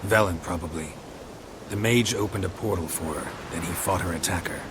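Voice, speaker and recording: Deep-voiced, stoic monster hunter. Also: male voice, fairly quick, noisy background. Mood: matter-of-fact. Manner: terse, clipped, deadpan. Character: gruff, stoic monster hunter, middle-aged man. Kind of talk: video game cutscene acting. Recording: loud music and sound effects behind.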